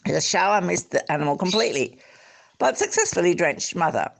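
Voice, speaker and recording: An Australian reader telling a literary story aloud expressively, in measured phrases with deliberate pauses. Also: medium pitch, expressive, vocal fry. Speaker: audiobook-style reader.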